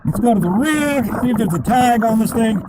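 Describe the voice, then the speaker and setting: A man with a high, squeaky voice chats and thinks aloud as he searches underwater. He speaks through diving mask comms. The line carries a strong, steady hiss of noise. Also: brisk. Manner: chatty, thinks aloud as he works. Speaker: diver. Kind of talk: casual narration while searching. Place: underwater in a river.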